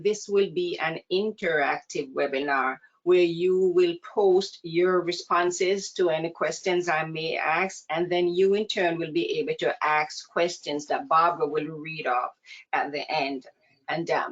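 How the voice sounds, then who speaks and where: A woman with a Jamaican accent giving an earnest, measured webinar presentation as a counselor, heard over a video call. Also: fairly low pitch. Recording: dull lo-fi video call audio.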